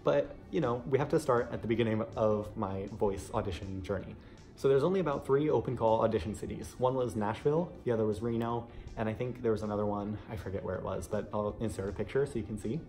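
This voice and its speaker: A man's voice: lowish voice, quick pace, darkish tone, faint music behind. A young American man telling a story casually to camera in a chatty, conversational way. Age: young adult. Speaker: vlogger sharing an audition experience.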